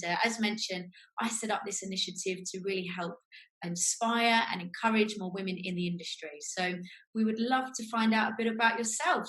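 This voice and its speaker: A woman's voice: mid-range voice, fairly bright tone, poor audio. A woman hosting an interview, asking questions in an encouraging, appreciative way. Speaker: interviewer, host. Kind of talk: friendly structured interview questions.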